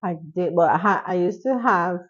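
A woman's voice: on the low side, moderate pace, breathy edge, highs cut off. A woman in her thirties from Costa Rica, a podcast guest chatting casually from a living-room couch, laughing often and trailing off. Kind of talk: casual interview.

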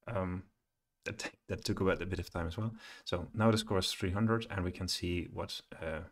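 A middle-aged man thinking aloud as he presents a coding screencast, halting and muttering to himself at a computer. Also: low-pitched, steady tone. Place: small room indoors at home, at a computer. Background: keyboard typing and clicking.

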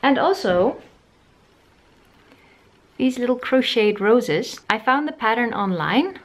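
A woman's voice: fairly high pitch, lively intonation, slow. Woman in her 30s doing a crafty vlog in her living room, chatty, laughs at her own skills. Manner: chatty and self-deprecating, wondering aloud. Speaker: sewing vlogger. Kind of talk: casual tutorial talk to camera.

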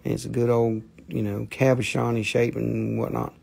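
A man's voice: mid-range voice, level tone, moderate pace, creaky, darkish tone. A man with a Southern US accent hosting a live mineral sales show, rambling and joking as he holds stones up to the camera. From southern United States. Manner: rambling, joking, cursing, playful bits of put-on accents. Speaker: live-stream mineral seller. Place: indoor room with a table of mineral specimens.

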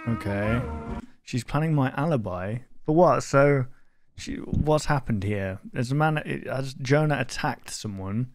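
A man's voice: medium pitch, a little slow. A British man in his twenties giving casual reaction commentary and reading bits aloud into a close desk microphone. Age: 20s. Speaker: reaction video host. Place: bedroom gaming setup. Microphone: close desk microphone, seen. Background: music from the video he watches.

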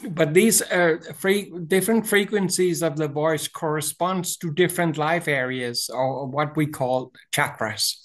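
Slow, halting Danish-accented man. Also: on the high side, a bit bright. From Denmark. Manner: halting, many restarts and fillers, frequent throat clearing. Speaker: author and voice teacher. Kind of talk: interview over video call. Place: small room indoors. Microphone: video call webcam.

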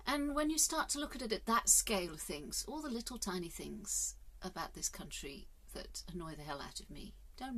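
A woman in her fifties from England, musing earnestly in a casual vlog, filmed on a phone held at arm's length inside a caravan. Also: mid-range voice. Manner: earnest, musing, with hesitant fillers. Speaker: vlogger. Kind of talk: casual reflective monologue. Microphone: phone camera at arm's length.

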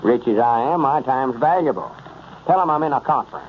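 A man's voice: medium pitch, animated, fairly quick, slight background noise, lightly acted. A man playing a boastful, scheming rural storekeeper with a self-important country drawl, in a 1930s radio comedy. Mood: pompous. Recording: muffled old radio transcription.

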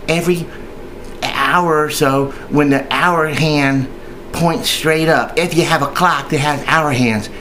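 A man's voice: on the high side, steady tone, takes it slowly, sharp tone, poor audio. Rambling, folksy man over background noise. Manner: rambling, hesitant, folksy, repeats himself. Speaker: union member giving tips. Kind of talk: informal training video, chatty monologue.

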